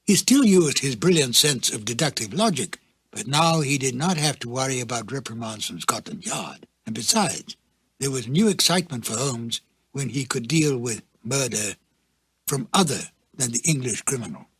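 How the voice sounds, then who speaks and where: A man's voice: medium pitch, expressive, moderate pace, fairly bright tone, breathy edge, no echo. A man giving a scripted introduction as presenter, in a formal, lecturing manner. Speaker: presenter introducing a radio drama.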